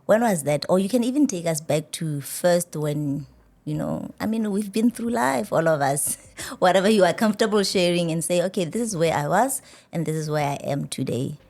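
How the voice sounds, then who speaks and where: Lady hosting a chat show, kinda low voice, animated, repeats words for emphasis. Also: moderate pace. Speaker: talk show host. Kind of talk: conversational interview.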